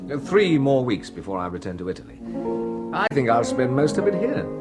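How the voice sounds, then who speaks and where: A man's voice: mid-range voice, sing-song, brisk, loud music behind the voice, lightly acted. An actor playing a curious young man on leave who senses something odd, in a radio play. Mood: probing. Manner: curious, quietly alert. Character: young male officer on leave, amateur sleuth. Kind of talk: radio drama acting.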